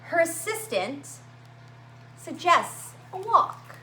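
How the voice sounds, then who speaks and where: High, animated woman reading a children's story. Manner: animated, dramatic emphasis with drawn-out words and simple asides. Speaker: children's story-time reader at a library. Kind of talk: expressive read-aloud of a picture book for young children. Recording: roomy echo, faint background noise.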